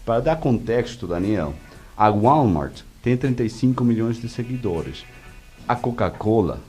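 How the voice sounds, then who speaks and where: A man with a Venezuelan accent and native Spanish, answering interview questions conversationally in halting speech, searching for words. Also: mid-range voice, big pitch swings, a little mellow, slightly rough, soft background music. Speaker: interview guest, media executive.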